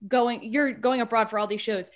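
A woman's voice: fairly high pitch, fairly even intonation, normal speed. A woman co-hosting a podcast, asking friendly questions. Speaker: podcast co-host. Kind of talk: casual interview questions.

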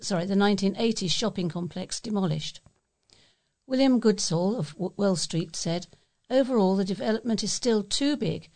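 A woman's voice: mid-range voice, a little mellow. British lady reading out local paper stories, scripted news read, volunteer style. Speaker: volunteer newsreader. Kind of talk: read-aloud local newspaper articles.

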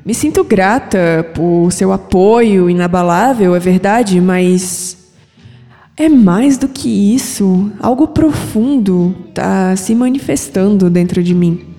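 Troubled young Brazilian woman acted by a woman, pensive and mellow, slow delivery, audio drama with music. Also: mid-range voice, in a large hall. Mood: pensive, anxious. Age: young adult. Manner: pensive, sighing. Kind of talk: scripted audio drama acting.

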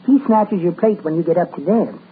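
An actor playing a meek, fussy, soft-spoken male neighbor in 1940s radio comedy. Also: high-pitched, animated, brisk. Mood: mild. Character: meek, mild-mannered bird-loving adult male neighbor. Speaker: visiting neighbor. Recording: tinny old radio broadcast with faint hiss.

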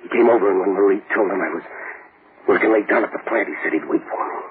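A man's voice: sits low, fast talker, close to an everyday voice. Intense, vengeful prisoner played by a man, old radio. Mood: anguished, vengeful. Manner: intense, insistent, repetitive, rough slangy speech. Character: bitter vengeful accused prisoner grieving his murdered wife. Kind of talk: 1930s radio acting. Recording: scratchy muffled 1930s radio recording.

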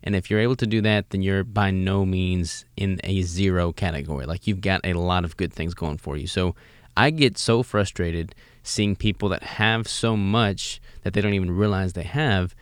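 A very deep-voiced American man hosts a podcast, coaching with earnest, motivational emphasis. His voice sits close to the mic in a dry, echo-free recording. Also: moderate pace, smooth. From United States. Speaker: podcast host and fitness coach. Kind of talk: casual interview chat, motivational.

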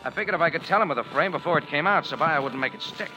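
An actor playing a wry, tough cafe owner in 1940s radio noir, clipped and hardboiled. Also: male voice, a little high, lively intonation, racing, natural voice, rough recording. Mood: hardboiled narration. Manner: dry, wisecracking, clipped hardboiled delivery. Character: wry, tough male cafe owner narrating his adventure. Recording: old radio broadcast with music behind.

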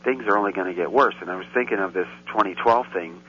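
A man's voice: low-pitched, fast, sharp tone. A man talking as a guest on a radio show, rambling and getting indignant, on a dull, faintly hissy recording. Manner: rambling, grows heated and indignant. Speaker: guest commentator. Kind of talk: casual radio chat. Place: Central Europe.

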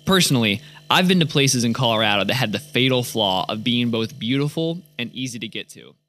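Man, podcast host, scripted narration, calm, measured, faint guitar music. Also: mid-range voice.

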